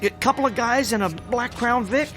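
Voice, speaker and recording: A man's voice: very high voice, moderate pace, pushed and bright, soft background music. A man acting in a radio drama as an anxious, self-pitying younger brother and small-time gambler, agitated and slangy. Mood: alarmed, concerned.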